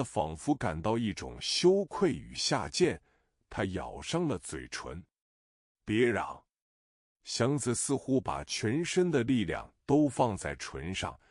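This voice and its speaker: A man's voice: fairly low pitch, dry recording, clean studio sound. A man reading a novel aloud as narrator, expressively voicing the characters' lines. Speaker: audiobook narrator.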